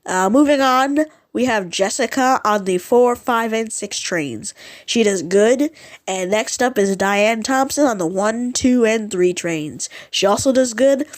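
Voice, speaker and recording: A woman with a fairly high voice talks through a homemade vlog. Her delivery is informal and rambling, with an unsure, self-deprecating streak. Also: lively intonation, unhurried. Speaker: vlogger ranking subway announcements. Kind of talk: casual rambling vlog commentary.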